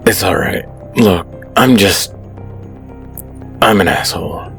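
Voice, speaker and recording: An actor playing a weary young man gamer in chronic pain, who sighs often and confesses quietly. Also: low-pitched, slow, faint music behind, exaggerated voice. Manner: quiet, sighing, self-critical confession.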